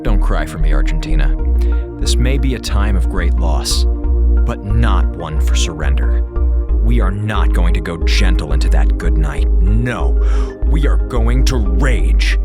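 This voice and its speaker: A man's voice: deep voice, expressive, unhurried, over loud music. A man playing a sardonic, melodramatic communications officer on a space station in an audio drama, with a theatrical, mock-solemn delivery. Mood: grandiose, defiant. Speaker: communications officer recording a log. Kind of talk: audio drama acting, log narration.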